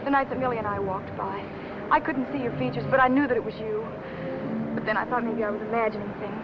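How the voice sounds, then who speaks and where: A woman's voice: fairly high pitch, steady tone, quick pace, lightly acted. An American actress playing an earnest, devoted young woman in a 1950s film melodrama, on a muffled, very noisy soundtrack. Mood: anxious.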